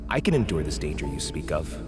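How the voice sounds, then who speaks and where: A man's voice: rumbling low, big pitch swings, fast. A man playing a proud, unyielding deity of the stars in a fantasy audio drama, formal and self-assured. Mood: proud defiance. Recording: long hall-like reverb. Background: music.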